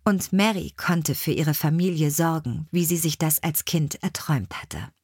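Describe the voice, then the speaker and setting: A woman's voice: deep voice, moderate pace, darkish tone, slightly rough, no room sound. A woman reading scripted biographical stories as an audiobook narrator.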